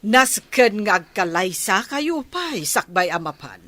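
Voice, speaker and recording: A woman's voice: mid-range voice, sing-song, measured pace, a bit bright, slight background noise. A woman playing a scheming, money-minded village mother in a radio comedy drama. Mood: scheming, eager.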